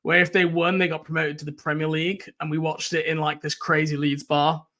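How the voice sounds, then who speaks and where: A young English man hosting a sports podcast in casual chat, his voice croaky. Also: fairly high pitch, flat delivery, brisk, pushed and bright. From England. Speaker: podcast host.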